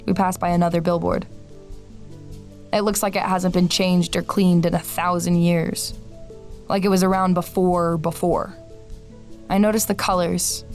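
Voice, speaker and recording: An actress plays a wary woman on the road in an audio drama. Her voice sits slightly low, and she is dry, sarcastic and skeptical. Faint music runs underneath. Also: flat delivery, measured pace, long pauses, a little mellow, lightly acted. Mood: wry, observant. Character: Amber, wary sardonic traveler narrating a strange road trip. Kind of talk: audio drama dialogue and first-person narration. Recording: music bed behind voice.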